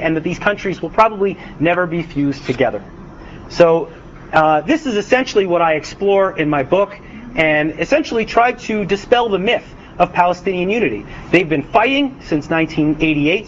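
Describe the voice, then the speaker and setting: A young adult man giving a lecture as an author, speaking with frequent hesitations and restarts. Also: high-pitched. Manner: hesitant, many uhs and restarts. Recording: dull lo-fi recording, faint noise.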